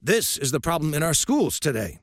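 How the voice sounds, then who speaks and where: A man voicing a gruff, fiery old baseball coach who barks and bellows at a crowd. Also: on the high side, big pitch swings, normal speed, dry recording, clean studio sound, pitched above the performer's own voice. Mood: preaching. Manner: barking, bellowing.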